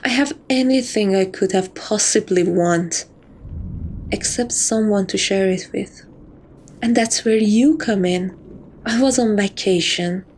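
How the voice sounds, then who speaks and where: A woman playing a seductive, possessive catgirl thief who dotes on her captive, speaking softly and teasingly in a romantic ASMR roleplay. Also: medium pitch, a little hiss or hum, close to an everyday voice. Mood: smug, wistful. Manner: soft, coaxing, teasing, doting.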